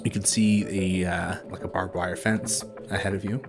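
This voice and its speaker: Deep-voiced man narrating a horror game. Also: flat delivery. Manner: halting, casual phrasing with many filler words. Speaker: game master. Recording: music behind the voice. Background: background music.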